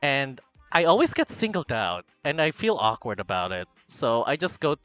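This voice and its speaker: A man streamer from the Philippines, gossiping and reading chat in a camp, animated way. His bright, lively voice leaps between low murmurs and loud squeals, while faint music plays in the background. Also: moderate pace, dry recording. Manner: chatty, camp, reading chat aloud, swings from mumbling to loud excitement. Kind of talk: casual livestream chat.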